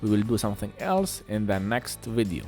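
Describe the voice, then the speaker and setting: A man giving an informal voice-over for a phone repair, speaking halting, non-native English. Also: on the low side, sing-song, darkish tone, soft background music. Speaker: phone repair video host.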